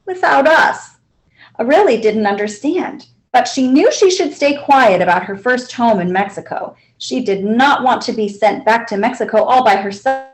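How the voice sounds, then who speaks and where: A woman reads a picture book to children. Her voice is high and very varied in pitch, and she tells the story deliberately and expressively. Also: expressive, a little mellow, rough recording. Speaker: librarian reading aloud.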